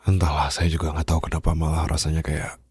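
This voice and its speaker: A man with an Indonesian accent playing a protective, loving mafia boss in an intimate ASMR roleplay, speaking softly and reassuringly in a husky voice. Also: completely flat delivery, no echo, clean studio sound, heavily acted. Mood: confiding, smitten. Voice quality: deep, gravelly, husky. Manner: slow, soft, hushed, pausing, gently reassuring. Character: male mafia boss, tender and protective lover. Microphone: close studio condenser microphone.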